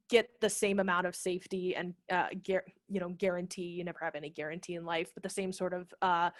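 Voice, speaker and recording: A woman in her thirties talking casually and explaining in an animated way on a webinar, speaking into a headset. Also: on the low side, no room sound, clean studio sound. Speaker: theater director, guest presenter. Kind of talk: casual webinar question-and-answer talk. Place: small home room, video call. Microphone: headset microphone.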